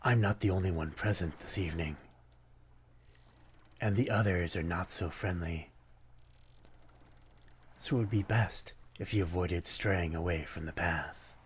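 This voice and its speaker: Man acting as a deep, unhurried, melancholy vampire. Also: a little hiss or hum. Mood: gentle farewell. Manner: formal, archaic, poetic phrasing, gentle and brooding. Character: lonely, courteous, melancholy male vampire stranger by a harbor. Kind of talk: ASMR roleplay, slow theatrical monologue.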